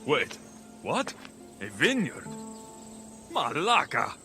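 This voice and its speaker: Young Greek sellsword: cocky, wry, hugely varied pitch, game cutscene with music. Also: male voice, wildly swooping pitch, slow pace, heavily acted. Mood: incredulous. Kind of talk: video game cutscene acting.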